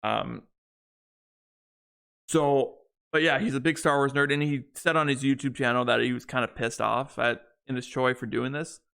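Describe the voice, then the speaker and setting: A man's voice: mid-range voice, fairly even intonation, moderate pace, creaky, a bit bright, smooth. A young man co-hosting a podcast, chatting casually and rambling with many restarts and fillers. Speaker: podcast co-host. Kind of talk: casual chat.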